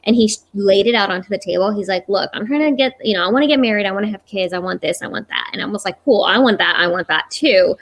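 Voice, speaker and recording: A woman in her thirties hosting a casual live chat, talking in a chatty, animated way. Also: mid-range voice, fast talker, hardly any pauses. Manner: chatty, animated, laughs often. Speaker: host.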